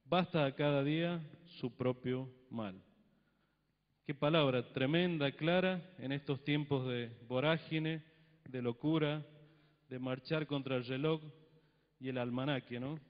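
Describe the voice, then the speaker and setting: A man's voice: medium pitch, unhurried. A middle-aged man from Argentina, a pastor, reading scripture and preaching into a handheld microphone in a church hall, with solemn emphasis on the readings. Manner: reads scripture with solemn emphasis, then talks plainly with the congregation. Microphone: handheld mic. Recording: long church reverb, dull lo-fi broadcast sound.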